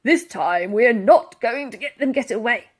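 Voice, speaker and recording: A woman's voice: a little high, lively intonation, moderate pace, slightly rough. A woman voicing a pompous, bossy male police commissioner who hisses and barks exasperated orders in a children's storybook. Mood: menacing.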